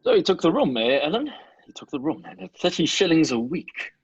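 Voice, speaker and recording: A man playing a landlady's cheerful, eager husband in a radio play, with a rough, husky voice. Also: a little high, big pitch swings, a little fast, no echo, dull audio, exaggerated voice. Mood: delighted, excited. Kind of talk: old-time radio play acting.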